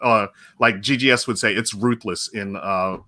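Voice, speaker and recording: Bright-voiced enthusiastic male host. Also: fairly low pitch, moderate pace, slight background noise. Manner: enthusiastic, chatty. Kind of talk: casual livestream chat.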